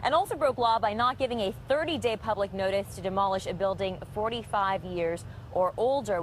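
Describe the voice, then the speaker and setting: Female American TV field reporter, average pitch, live news delivery, light outdoor background noise. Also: rough recording. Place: outdoors on a city street by a demolition site.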